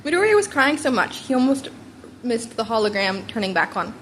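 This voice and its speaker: Higher-pitched woman reading a story, lively. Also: fairly quick, slight background noise. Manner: informal, stumbling over words and rereading. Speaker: fan fiction audiobook reader. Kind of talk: expressive scripted story narration.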